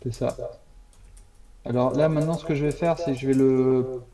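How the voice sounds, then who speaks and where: A man's voice: medium pitch, steady tone, moderate pace, recorded from a distance, background noise. A man in his fifties co-hosting a casual live stream, laid back and talking through what he is doing. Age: 50s. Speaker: livestream co-host. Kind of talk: casual livestream chat. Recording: roomy, away from the mic.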